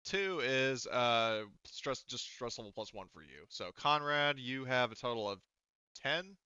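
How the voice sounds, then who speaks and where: A man's voice: medium pitch, expressive, unhurried, pushed and bright, clear, resonant tone, dry recording, dull audio. A man running a tabletop roleplaying game as game master, casually explaining rolls and rules with some swearing.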